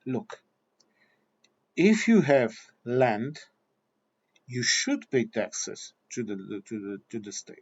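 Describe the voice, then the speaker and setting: A man's voice: mid-range voice, sing-song, slow pace, pauses often, darkish tone, no echo, highs cut off. A man with a Portuguese accent, Portuguese his first language, delivering a blunt, combative monologue straight at his viewers. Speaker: video commentator. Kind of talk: ranting monologue.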